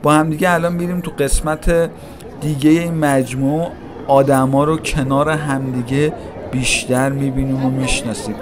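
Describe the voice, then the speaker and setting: A man's voice: mid-range voice, fairly even intonation. A man giving a casual, chatty walking-tour narration, talking straight to his viewers as he strolls through a large indoor shopping mall. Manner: chatty, addresses viewers directly, musing. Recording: noisy mall ambience. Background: mall crowd ambience.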